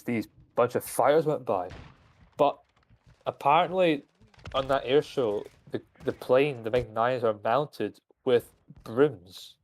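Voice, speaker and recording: A young man streaming a game, rambling in casual chat with frequent swearing and restarts. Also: medium pitch. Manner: rambling, profane, full of restarts.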